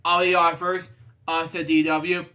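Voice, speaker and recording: A man's voice: fairly high pitch, flat delivery, measured pace, pushed and bright. A man reading a children's picture book aloud casually, often breaking off into rambling, digressive asides. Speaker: storybook reader and show host.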